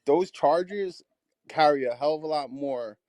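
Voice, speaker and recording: Halting, friendly male caller. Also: a little high, darkish tone, dry recording. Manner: halting, repeats himself, friendly. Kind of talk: casual call-in chat.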